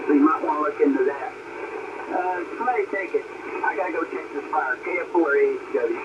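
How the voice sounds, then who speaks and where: A man's voice: on the high side, a little fast, recorded from a distance, highs cut off. A man chatting offhandedly on ham radio, giving advice. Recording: radio signal with clear noise.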